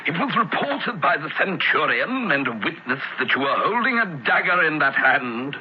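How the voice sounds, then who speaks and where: Stern Roman judge, swooping intonation. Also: male voice, fairly high pitch, very animated. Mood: stern, accusing. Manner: deliberate, formal interrogation. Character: stern, formal Roman senator acting as judge. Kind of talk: old-time radio drama acting. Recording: muffled, hissy old radio recording.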